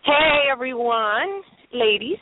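A woman's voice: high voice, expressive, takes it slowly, no echo. A woman from Pennsylvania, a singer and educator, speaking upbeat on a call-in radio panel. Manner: upbeat, laughing, hesitant fillers. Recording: dry narrow phone line.

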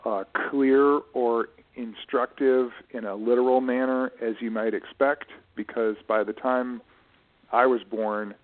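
A man's voice: mid-range voice, fairly even intonation, unhurried. Man on a tinny, phone-like line. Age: middle-aged. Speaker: interview guest, writer and publishing professional. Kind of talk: reflective conversational interview. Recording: narrow, muffled phone-like audio.

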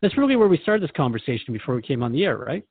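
A man's voice: fairly high pitch, sing-song, racing, gravelly, slightly rough, dry recording. A man hosting a radio conversation, engaged and reflective, asking questions and paraphrasing what he hears. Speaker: radio show host. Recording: dry, thin phone-like band.

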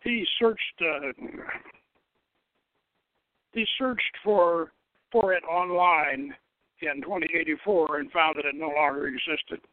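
A man giving a halting, wry dramatic reading of a story, stumbling over the text now and then. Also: on the high side. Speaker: host reading own novel aloud. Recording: thin, dry, phone-like radio line.

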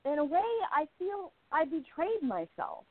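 A woman's voice: high voice, sing-song, measured pace. A woman playing an independent young newspaperwoman of the 1920s in a radio play, with an earnest, emphatic delivery. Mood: tender. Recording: tinny phone-like line, roomy echo.

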